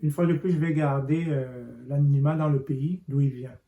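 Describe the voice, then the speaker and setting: A man hosting an interview, introducing his guest and asking measured, inviting questions. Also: on the high side, fairly even intonation, narrow, tinny audio. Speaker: interviewer host. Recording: roomy echo.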